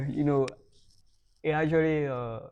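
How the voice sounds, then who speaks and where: A man's voice: fairly high pitch, fairly even intonation, slow, a little mellow, clear, resonant tone, highs cut off. A young man hosting a podcast interview in a casual way. He laughs often and repeats words when he starts a sentence. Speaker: podcast host.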